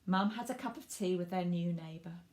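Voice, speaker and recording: A woman reading a picture book aloud to children in an expressive way, stressing words for drama and speaking directly to young listeners. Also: on the low side. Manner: animated, dramatic emphasis with drawn-out words and hushed asides.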